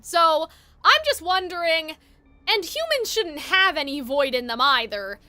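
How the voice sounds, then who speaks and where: A woman's voice: very high-pitched, lively intonation, measured pace, sharp tone, dry recording, soft background music. A woman live streamer chatting casually and reacting to a game in an informal, chatty way.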